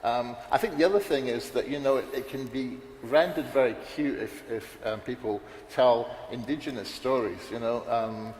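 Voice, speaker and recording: A man's voice: mid-range voice, moderate pace, hardly any pauses, darkish tone. A man on a conference panel, talking in a rambling, unscripted way with many restarts and fillers. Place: large echoey hall. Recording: roomy hall reverb, faint background noise.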